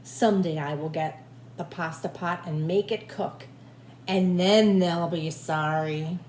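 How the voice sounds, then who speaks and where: A woman voicing a naive, eager male village helper from a storybook, with simple, drawn-out exclamations. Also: fairly low pitch, fairly even intonation, a little mellow, reverberant, a little hiss or hum, close to an everyday voice. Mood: resentful.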